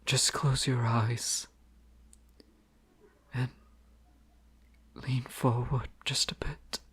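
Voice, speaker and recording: A man voicing a bashful young crown prince in an intimate fantasy audio drama. He speaks at an unhurried, lingering pace, tender and lovestruck. His delivery stays soft and close, often slipping into a hushed whisper. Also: medium pitch, flat delivery, slightly rough, heavily acted. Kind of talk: romantic audio roleplay.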